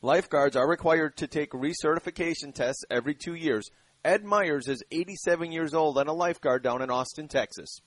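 Man with a crisp newsreader delivery. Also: a little high, brisk, no echo. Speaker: news reporter. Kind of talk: news report.

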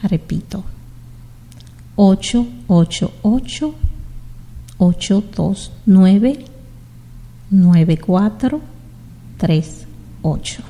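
A middle-aged woman from the Dominican Republic hosting a community radio show, speaking in an unhurried, heartfelt way as she greets her listeners. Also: mid-range voice, lively intonation, dark tone, big-room sound. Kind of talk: slow, warm community radio sign-off.

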